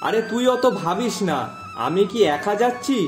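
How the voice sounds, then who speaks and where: Man voicing a high-pitched kindly cartoon father. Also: lively intonation, moderate pace. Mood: reassuring. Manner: affectionate, reassuring. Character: kindly, reassuring village fisherman father of two daughters. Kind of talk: Bengali cartoon moral story voice acting. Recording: echoey voice track with music behind.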